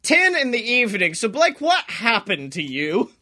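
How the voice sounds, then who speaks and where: High, bright-voiced excitable man. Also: moderate pace. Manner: profane, giggly, excitable. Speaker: comedy podcast host. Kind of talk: casual comic banter.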